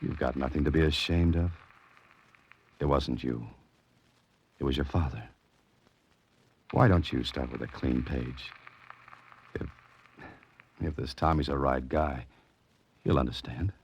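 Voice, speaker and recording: A man's voice: a bass voice, a little slow, long pauses, darkish tone. An American man playing a tough, wry adventurer-sleuth in a 1940s radio suspense drama, with a clipped, insistent delivery. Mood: earnest persuasion. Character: tough, wry male adventurer-sleuth, narrating and pressing for answers. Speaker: lead actor and narrator. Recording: noisy, muffled vintage 1940s radio recording.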